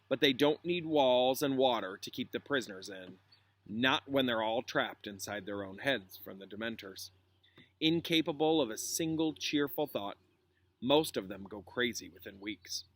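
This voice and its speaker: Man reading as a grave, measured wizard professor. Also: medium pitch, lively intonation, a bit bright, no echo, close to an everyday voice. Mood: cool, grim. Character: grave, kindly young gray-haired wizard professor. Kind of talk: storybook read-aloud.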